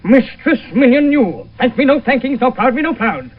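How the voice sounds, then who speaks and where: A man's voice: extremely high pitch, expressive, normal speed. An actor playing a domineering, hot-tempered noble father with blustering, scornful delivery in classical Shakespearean radio drama. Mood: furious. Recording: noisy, tinny old radio broadcast.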